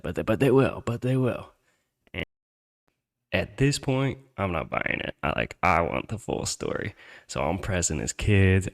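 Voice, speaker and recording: Deep, gravelly-voiced man telling a story. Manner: slangy, swearing, conversational. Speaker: podcast host telling a personal story. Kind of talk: casual first-person storytelling.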